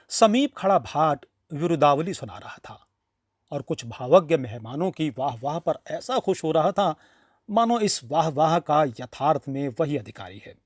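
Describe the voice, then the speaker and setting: Animated, higher-pitched male storyteller. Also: dry recording, clean studio sound. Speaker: storyteller reading a classic short story. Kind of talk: expressive scripted audiobook narration.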